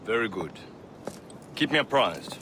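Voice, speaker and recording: An actor playing a stern Templar leader, formal, curt and authoritative, in a video game drama. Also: male voice, fairly low pitch, big pitch swings, measured pace, noisy background. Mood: curt, businesslike.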